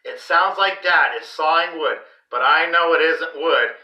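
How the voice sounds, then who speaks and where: A man reading a children's story aloud in an expressive, deliberate, dramatic way. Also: a little high, lo-fi sound. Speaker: read-aloud host for a school class. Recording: roomy echo, distant microphone.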